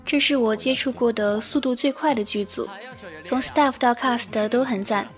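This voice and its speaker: A woman's voice: fairly high pitch, normal speed, faint music behind. A woman speaking as an audio drama director in a casual thank-you afterword.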